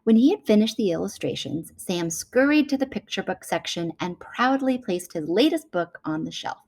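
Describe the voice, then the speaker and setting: A woman reading a children's picture book aloud in an animated storytelling manner. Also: medium pitch, normal speed, vocal fry.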